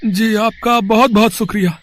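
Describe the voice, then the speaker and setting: A man's voice: high voice, steady tone, moderate pace, fairly bright tone. A man playing a humble, earnest poor village husband in a cartoon story, speaking in a hesitant, respectful, pleading way. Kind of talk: acted cartoon story dialogue.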